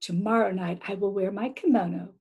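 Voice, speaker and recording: A woman voicing an earnest, anxious young woman from a storybook, in an expressive way. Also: mid-range voice, a little fast, slightly rough.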